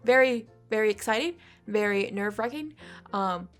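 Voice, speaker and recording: A woman's voice: mid-range voice, normal speed, soft background music. A congested woman in her twenties chatting casually and rambling while she sketches, swearing often, recorded at home on a desk microphone. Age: 20s. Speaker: art vlogger. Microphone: USB desk microphone.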